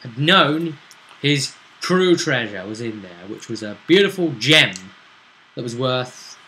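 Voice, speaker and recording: A man's voice: mid-range voice, expressive, slow, bright, forceful tone, slight background noise, poor audio. A man chatting through a recap of a tabletop role-playing session as game master, in a bantering, conversational storytelling way. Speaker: game master and show host.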